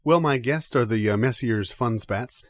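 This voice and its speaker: Halting, baffled male interviewer, played by a man, dry close sound. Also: medium pitch, dry recording, natural voice. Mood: earnest, curious. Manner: halting, hesitant, stammering. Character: earnest, baffled male interviewer of odd scholars. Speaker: interview host. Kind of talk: improvised mock radio interview.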